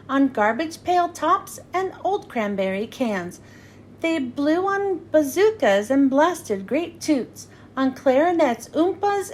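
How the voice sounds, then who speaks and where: A woman reading a rhyming picture book aloud to children in an expressive storytelling style, acting out the dialogue. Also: high-pitched, a little hiss or hum. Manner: expressive, acts out character dialogue.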